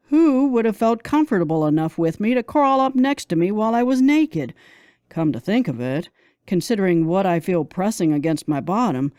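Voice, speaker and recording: A woman reading a first-person romance audiobook as a narrator, in a dramatic, expressive manner. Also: fairly low pitch, no echo, studio quality.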